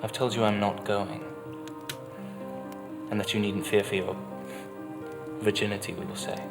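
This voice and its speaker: Man as a low-voiced cynical gentleman libertine. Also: little pitch movement, normal speed, creaky, over loud music. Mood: insistent, scornful. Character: cynical, insistent gentleman libertine, cool and scornful. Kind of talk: dramatized memoir reading.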